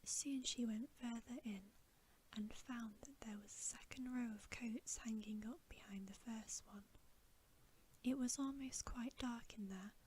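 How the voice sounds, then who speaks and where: Female ASMR narrator for bedtime fiction: gentle breathy whisper, very unhurried delivery, slight background hiss. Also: fairly high pitch. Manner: gentle, unhurried, soothing storytelling. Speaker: ASMR reader.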